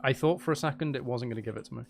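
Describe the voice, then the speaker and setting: A man's voice: mid-range voice, racing, gravelly. A man hosting a casual gaming livestream, rambling and thinking aloud with playful, mock-dramatic asides. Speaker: livestream host.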